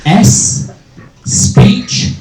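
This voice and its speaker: A middle-aged male stand-up comedian with a deep voice hosting a live comedy night, bantering with hecklers and swearing freely. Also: extremely slow. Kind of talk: stand-up comedy with crowd work. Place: small live venue with a crowd. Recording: echoey room with loud crowd.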